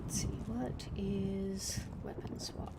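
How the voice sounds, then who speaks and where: A woman streaming a video game, quietly chatting and reading her character's lines. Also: mid-range voice, steady tone, slow pace. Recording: loud background game noise.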